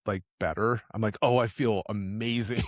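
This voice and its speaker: Lower-voiced, slightly nasal man rambling. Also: steady tone, moderate pace, no echo, clean studio sound. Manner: rambling storyteller, filler-heavy. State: a bit nasal after illness. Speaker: podcast co-host. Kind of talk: casual podcast chat.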